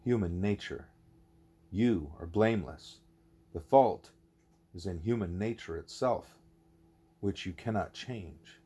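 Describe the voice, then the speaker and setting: A man voicing a wise, patient male teacher in an audiobook, speaking in a deliberate, formal, explanatory way. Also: low-pitched, mellow, breathy edge, full character voice. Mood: grave, explanatory. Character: wise, patient teacher creature Ishmael, male, measured and didactic.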